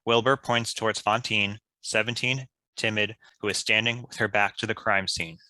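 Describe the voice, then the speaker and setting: A man's voice: on the low side, fairly even intonation, normal speed, bright, forceful tone, dry recording. A man reading scripted stage directions as a narrator. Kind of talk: scripted narration of screenplay action.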